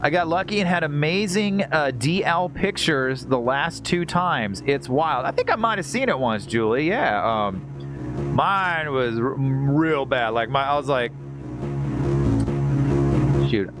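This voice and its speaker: Animated, higher-pitched male game streamer. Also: takes it slowly. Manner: rambling, jokey, swearing, reacting aloud to gameplay. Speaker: live streamer and host. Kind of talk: casual game stream commentary, chatting with viewers. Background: video game music.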